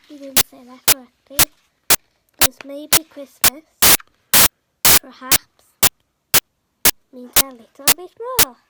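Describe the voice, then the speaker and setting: A woman's voice: sits high, heavy background noise. A woman reading a children's storybook aloud as a podcast host, stumbling and repeating words now and then. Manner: halting, stumbling reader who repeats words. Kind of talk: read-aloud storybook narration.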